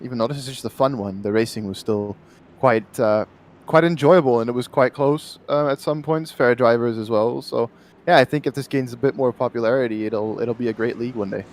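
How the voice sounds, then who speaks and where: A man's voice: mid-range voice, steady tone, fairly quick, a little hiss or hum. A man from Belgium, a sim racing driver, chatting casually and modestly in an interview over online voice chat.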